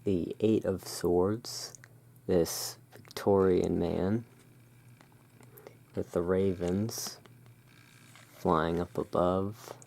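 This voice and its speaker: A soft-spoken ASMR host roleplaying the owner of a gothic curiosity emporium, presenting cards in hushed, unhurried short phrases with pauses. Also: low-pitched, fairly even intonation, gravelly. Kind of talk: soft-spoken ASMR tarot card showing.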